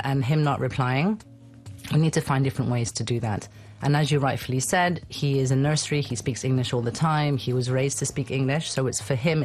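A woman's voice: rumbling low, darkish tone. A woman speaking as a guest on a talk show about raising bilingual children, chatty and reassuring, often restarting her sentences. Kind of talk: radio talk show conversation.

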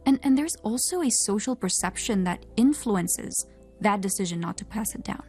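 A woman's voice: medium pitch, expressive, normal speed, darkish tone, slightly rough, faint music behind. A woman from Oman co-hosting a podcast, speaking in an articulate, fluent, composed way. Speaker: podcast co-host. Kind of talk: conversational podcast discussion.

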